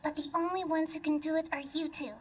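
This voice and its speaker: A woman plays a girlish little goddess from a game, high in pitch and measured in delivery. She sounds calm, gentle and wise, a bit away from the mic with faint noise behind. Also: far from the mic, natural voice. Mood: grave, resolved. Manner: measured, explanatory. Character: small young female deity, calm, wise and gentle. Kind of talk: video game cutscene acting.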